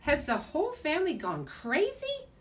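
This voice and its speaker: A woman's voice: high-pitched, wildly swooping pitch, moderate pace, pitched above the performer's own voice. A woman voicing a curious, wondering young male pet iguana from a storybook. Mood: puzzled. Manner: wondering, questioning. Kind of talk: storybook character read-aloud. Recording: distant mic in a room.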